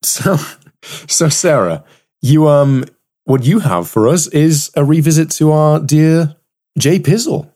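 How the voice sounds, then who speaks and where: Wry podcast host with swooping pitch. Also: animated, slow pace, dark tone. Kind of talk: casual chat, reading poems aloud.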